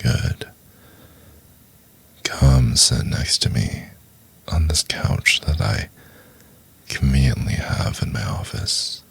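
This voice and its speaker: Very deep gravelly, seductive male professor acted by a man. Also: exaggerated voice. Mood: commanding, coaxing.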